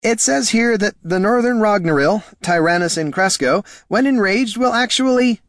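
A man voicing a fretful, bookish young priest with a flustered, halting manner in a storybook. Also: sits high, sing-song, dry recording, clean studio sound, pitched above the performer's own voice, full character voice. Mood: nervous dismay. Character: fretful, bookish male young priest leafing through handbook.

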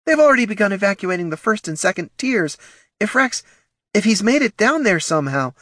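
A man's voice: high-pitched, very animated, moderate pace, bright, forceful tone, dry recording, clean studio sound, voice pushed up, full character voice. Man voicing a snarling gnoll matron. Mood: frantic. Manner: snarling, barking orders. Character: fierce, harried female gnoll mother.

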